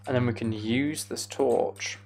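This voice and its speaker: A man's voice: mid-range voice, fairly even intonation, unhurried, darkish tone. A man giving casual, self-deprecating gameplay commentary, musing aloud as he goes. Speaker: gaming video host.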